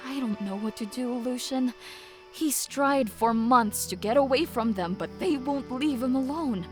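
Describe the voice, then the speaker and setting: A woman's voice: sits high, measured pace, faint music behind. A woman playing an anxious, protective young elder sister in an audio drama, earnest and uneasy.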